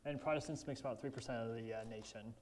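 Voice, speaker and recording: A man's voice: mid-range voice, fast, a little mellow. Casual joking young man presenting. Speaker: campus ministry staff. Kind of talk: presentation with slides.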